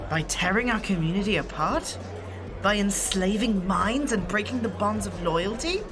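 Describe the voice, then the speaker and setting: A woman voicing a calm, resolute exiled shifter who speaks formally and avoids contractions. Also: medium pitch, normal speed, music in the background, crowd noise, natural voice. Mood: stern. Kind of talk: audio drama acting.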